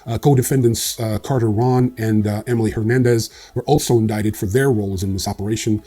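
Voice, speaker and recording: A man's voice: fairly low pitch, steady tone, a little fast, warm tone, breathy edge. A man hosting a casual news roundup, reading headlines with frequent fillers and slipping in dry, sarcastic asides. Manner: wry, dry sarcasm, frequent ums and uhs. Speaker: crypto news host.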